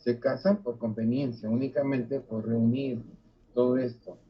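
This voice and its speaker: An older man giving a university lecture, halting with frequent fillers and restarts. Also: mid-range voice, level tone, slight background noise. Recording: dull lo-fi recording.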